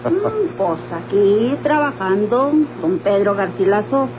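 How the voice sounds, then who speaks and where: A woman's voice: mid-range voice, very animated, takes it slowly. An actress playing a humble, hard-working Mexican motel keeper and mother in an old radio drama, plain-spoken, hesitant and resigned. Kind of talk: old radio-novela acting. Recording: noisy, humming, tinny old radio recording.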